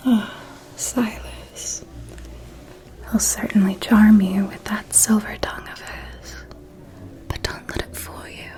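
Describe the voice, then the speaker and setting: Woman acting a slow, whispering vampire noblewoman. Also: medium pitch, fairly even intonation, natural voice. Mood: cautioning, sly. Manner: slow, deliberate, elegant, hushed insinuation. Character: refined, shrewd, gossiping female vampire noble. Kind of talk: whispered ASMR roleplay.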